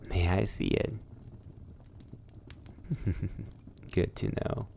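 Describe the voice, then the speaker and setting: A man playing a suave, sadistic vampire lord in an intimate ASMR roleplay, formally polite, breathy and teasing. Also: sits low, steady tone, extremely slow, mellow, a little hiss or hum, full character voice. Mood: smug, courtly.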